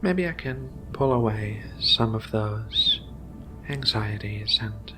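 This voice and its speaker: A man voicing Ragna's kind of figure, a gentle, caring giant female feline alien researcher, in a soothing, hesitant ASMR roleplay delivery. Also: lowish voice, animated, slow pace, loud music behind the voice, low-quality recording. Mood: gentle, coaxing.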